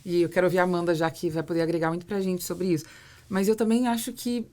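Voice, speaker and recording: A woman's voice: on the low side, steady tone, quick pace, dark tone. A Brazilian woman hosting a conversational interview, setting out her views in an articulate way and building long, reflective questions. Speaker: podcast host, interviewer.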